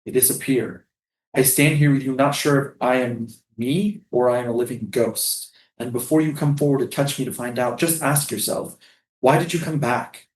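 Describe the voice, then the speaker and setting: A man's voice: mid-range voice, moderate pace, natural voice. A man playing a haunted, weary prisoner, solemn and brooding, in a staged reading of a drama. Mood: brooding, sorrowful. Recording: distant, echoey live stage reading.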